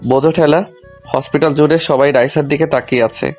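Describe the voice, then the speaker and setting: A man's voice: fairly high pitch, fairly even intonation, fairly quick, darkish tone. A man narrating a scripted first-person story in a colloquial, humorous manner. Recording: music behind the voice.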